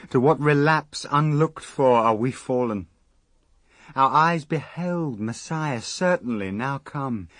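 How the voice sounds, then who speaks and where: Anguished, slow-spoken devout fisherman. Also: medium pitch, fairly bright tone, dry recording, studio quality. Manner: declamatory, solemn, lamenting. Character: anguished devout fisherman disciple pleading to God. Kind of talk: classic radio verse drama.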